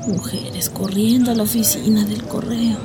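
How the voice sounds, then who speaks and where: A woman's voice: a little high, steady tone, normal speed, lightly acted. An actress playing a Mexican small-town woman left behind by departing men in a radio drama. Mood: gossipy. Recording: music behind the voice.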